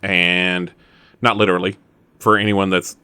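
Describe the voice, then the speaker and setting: A man's voice: low voice, little pitch movement, measured pace, pushed and bright, clear, resonant tone, dry recording, clean studio sound. A man playing a tabletop roleplaying game, chatting casually with joking asides as he says what his character does.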